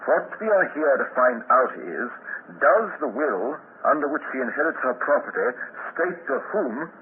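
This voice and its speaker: A man voicing a shrewd Scotland Yard inspector who questions people politely, probing with little hesitations and a lively, rising and falling delivery. It plays through a tinny, hissy old radio broadcast. The pitch sits in an ordinary middle range. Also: moderate pace, lightly acted. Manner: courteous, probing, hesitant fillers. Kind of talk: 1950s radio drama acting.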